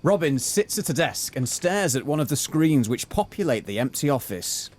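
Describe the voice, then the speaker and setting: A man's voice: on the high side, sing-song, moderate pace, darkish tone. A man narrating a comic detective audio drama in a scripted, matter-of-fact storytelling style. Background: guitar music.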